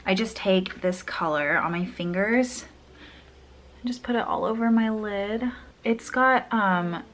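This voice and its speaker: A woman's voice: mid-range voice, measured pace, fairly bright tone. A young woman chatting casually through a makeup tutorial, a little sniffly, rambling and trailing off mid-sentence. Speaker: beauty vlogger.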